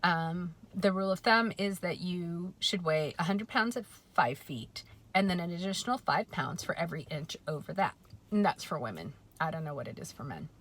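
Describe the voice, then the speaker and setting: A woman in her forties talking in a chatty, reflective way in a personal vlog, often pausing with um and correcting herself. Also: on the low side, lively intonation, a bit bright. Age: 40s. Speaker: vlogger.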